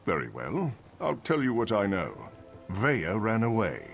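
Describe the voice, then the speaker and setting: An actor playing a brusque, dutiful dark elf guard captain in a video game, curt, condescending and emphatic. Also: male voice, fairly low pitch, wildly swooping pitch, a little slow, gravelly, faint music behind, heavily acted. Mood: dismissive, reluctant. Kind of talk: video game dialogue acting.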